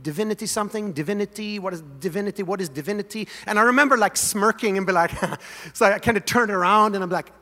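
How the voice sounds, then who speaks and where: A man from Sweden preaches in a bright, forceful voice that rings through a large hall. He tells his story in a casual, animated way. His voice sits a bit high for a man. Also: fast talker. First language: Swedish. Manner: animated, conversational, leads congregation in song. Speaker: pastor. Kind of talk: sermon storytelling. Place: large church sanctuary.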